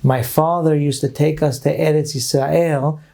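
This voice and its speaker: A middle-aged rabbi from Brooklyn, telling personal stories as a guest in earnest, reminiscing talk sprinkled with Hebrew phrases. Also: male voice, on the high side, steady tone, normal speed, gravelly, warm tone. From Brooklyn, New York. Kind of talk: storytelling interview talk.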